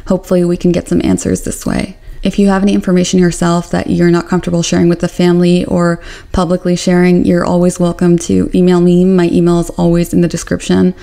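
A young woman hosting a missing-person channel, speaking to camera in an earnest, measured way from a small room at home. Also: fairly low pitch, monotone, vocal fry, mellow. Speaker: YouTube true-crime host. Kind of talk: scripted talk to camera.

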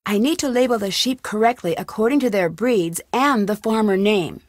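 A woman's voice: mid-range voice, normal speed, no echo, studio quality, natural voice. A woman playing a curious young female detective in a video game, musing to herself. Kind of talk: video game character acting.

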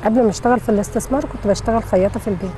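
Middle-aged Egyptian woman, Port Said accent, soft dark tone, casual interviewee, faint street noise behind. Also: a little high, very fast. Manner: plain-spoken, short colloquial answers. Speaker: taxi driver being interviewed. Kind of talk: casual personal storytelling. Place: city street, outdoors.

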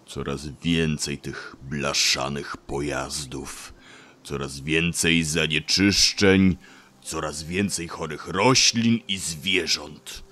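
A man playing an ancient, wise and weary grumbling beech tree in an audio drama, sighing and deliberate. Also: very deep voice, bright, forceful tone, full character voice. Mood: weary, worried. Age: older. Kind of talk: audio drama character acting.

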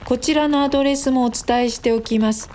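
A woman playing a chatty, teasing female office worker in a radio drama, expressive and gossipy. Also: high voice, a little fast, a little hiss or hum, lightly acted.

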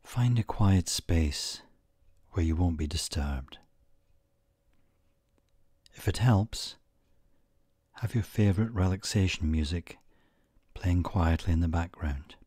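A man leads a guided meditation in a deep, mellow voice. His delivery is very unhurried and soothing, in short measured phrases with pauses. The tone is soft and breathy, often fading toward a whisper. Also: no room sound.

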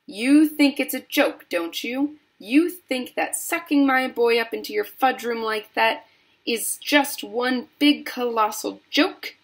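A woman's voice: a little high, slow, voice pushed up. A woman voicing a hysterical, indignant mother who shrieks and scolds, in a storybook reading. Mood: outraged. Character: hysterical, indignant, screeching mother of a greedy boy.

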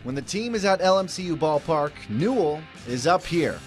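A man in his thirties narrating a local TV news feature in a bright, lively reporter delivery. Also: fairly high pitch, normal speed, soft background music.

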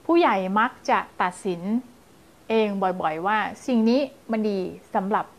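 A woman in her thirties, a child development teacher, giving parents advice to camera and now and then acting out lively story voices to show how. She speaks on a lapel mic in a small indoor studio. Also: mid-range voice, normal speed. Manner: animated, acts out scary and playful story voices to demonstrate. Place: small indoor studio in front of blue backdrop.

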